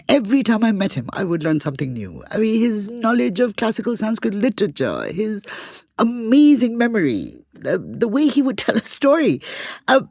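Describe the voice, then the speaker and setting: Husky, animated woman podcaster. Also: fairly high pitch, no room sound, clean studio sound. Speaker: podcast co-host. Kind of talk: conversational podcast narration.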